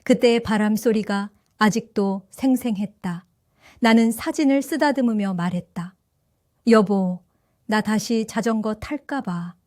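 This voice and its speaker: A woman in her seventies narrating her own story for an audio drama, in a gentle, reflective and wistful way. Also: mid-range voice, unhurried, no echo, studio quality. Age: 70s. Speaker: first-person narrator.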